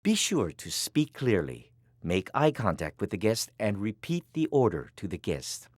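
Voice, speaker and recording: A man delivering scripted instructional narration as the narrator of a training film, with deliberate, emphatic phrasing. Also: on the low side, sing-song, dry recording, studio quality.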